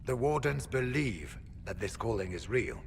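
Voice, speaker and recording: A man voicing a grave, courteous senior warrior in a video game, measured, solemn and formal. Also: mid-range voice, sing-song, vocal fry. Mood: solemn, worried. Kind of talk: dramatic video game acting. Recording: long reverb, faint ambient noise.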